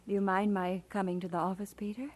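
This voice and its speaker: An actress playing a gentle, supportive minister's wife in an audio drama, with a soft, husky voice. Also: female voice, mid-range voice, level tone, quick pace, slight background noise, lightly acted. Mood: wistful.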